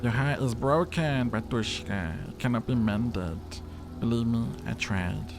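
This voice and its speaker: Here is a man acting out a talking necktie in a role-playing game, a fatalistic, boozy male confidant. He speaks bluntly and theatrically at a middle pitch, with music clearly playing behind him. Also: measured pace. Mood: mournful, blunt.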